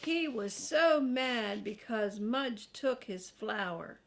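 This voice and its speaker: A woman's voice: a little high, lively intonation, slow, creaky. An older woman reading a children's storybook aloud as a grandmother, expressively and with casual asides.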